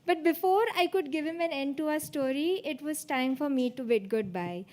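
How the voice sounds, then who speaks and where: Female spoken-word poet, high-pitched voice, performing a written piece. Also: normal speed, darkish tone. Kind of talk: spoken-word poetry recital.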